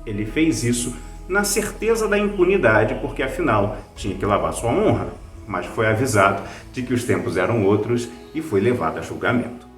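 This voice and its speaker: A man's voice: on the low side, expressive, moderate pace, reverberant, soft background music. A man with a Brazilian accent, hosting and narrating a scripted review. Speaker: host, writer and reviewer.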